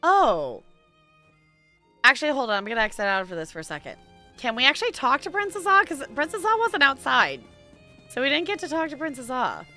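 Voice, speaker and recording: A woman's voice: high-pitched, sing-song, a little fast, bright, forceful tone, soft background music. A woman game streamer giving chatty, casual playthrough commentary and reading dialogue replies aloud.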